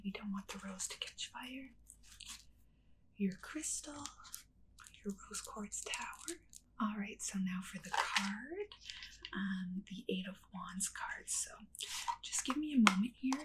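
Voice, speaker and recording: A woman doing a whispered ASMR role play as a kindly witch, speaking gently and unhurriedly straight to the listener. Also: medium pitch, background noise. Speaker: ASMR creator playing a witch.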